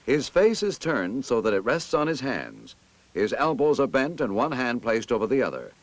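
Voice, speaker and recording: An American man reads scripted narration for a vintage army training film in an authoritative, instructive manner with clear enunciation. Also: medium pitch, huge pitch swings, moderate pace, no room sound.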